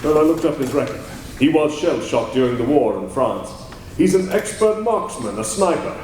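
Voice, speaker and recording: A man's voice: on the high side, lively intonation, normal speed, darkish tone, recorded from a distance, lightly acted. An actor playing an earnest, determined male crime-fighting hero in 1940s radio acting style, on an echoey old radio recording with hiss.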